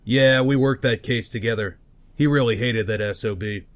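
A man's voice: mid-range voice, moderate pace, lightly acted. A man playing a chatty, casual tough guy in a comic book dub. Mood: subdued, casual. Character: chatty, weary male police officer; also glib crook.

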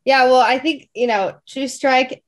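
A woman's voice: high-pitched, steady tone, measured pace. A woman voice actor giving a casual interview, with informal, loose phrasing peppered with filler words.

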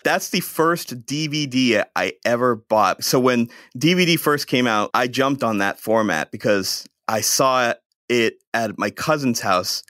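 Male podcast guest, mid pitch, dry close sound, enthusiastic and rambling in a good way. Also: a bit bright, dry recording. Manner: enthusiastic storyteller, restarts often. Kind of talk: casual chat.

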